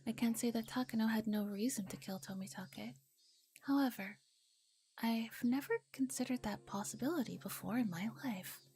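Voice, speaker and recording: A woman with a soft, breathy, often whispery voice reads a visual novel ASMR-style on stream with relaxed, playful asides, close to the mic. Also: fairly high pitch, normal speed. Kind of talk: ASMR reading with casual commentary. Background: music.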